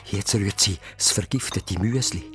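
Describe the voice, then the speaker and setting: A man's voice: lowish voice, moderate pace, whispered, over music. A man with a Swiss accent narrating a children's radio play as a suspenseful story.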